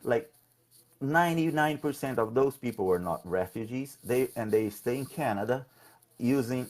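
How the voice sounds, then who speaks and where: A man from Brazil, a political candidate talking in a conversational interview, halting and often repeating his words. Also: lowish voice, a little mellow.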